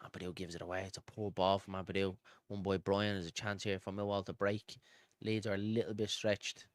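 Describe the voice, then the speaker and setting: Male fan-stream football commentator, Dublin accent, low pitch, chatty and pally with viewers, dry sound. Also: little pitch movement, a little fast, no echo. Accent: Dublin Irish. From Dublin, Ireland. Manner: informal, matey, addresses viewers as pal and buddy. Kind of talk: live football commentary mixed with casual chat to viewers.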